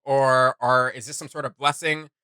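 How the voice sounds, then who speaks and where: Bright-voiced man reacting casually, close and dry. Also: medium pitch, moderate pace, smooth, no echo. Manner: animated, joking, rambling asides. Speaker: reaction show host.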